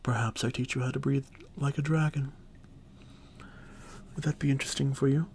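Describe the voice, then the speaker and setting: A man playing an arrogant, ancient dragon boy who was once a god, speaking with haughty condescension in a breathy, creaky voice for a fantasy ASMR roleplay. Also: medium pitch, fairly even intonation, darkish tone, slight background noise, natural voice. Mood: proud, instructive. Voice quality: breathy, whispery, with creaky edges. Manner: haughty, condescending, unhurried formal speech.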